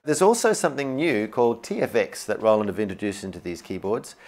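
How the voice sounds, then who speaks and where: A man's voice: fairly low pitch, very animated, fast, darkish tone. A man giving an upbeat, informal and enthusiastic instructional product demo, explaining features as he goes. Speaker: product demonstrator. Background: keyboard music.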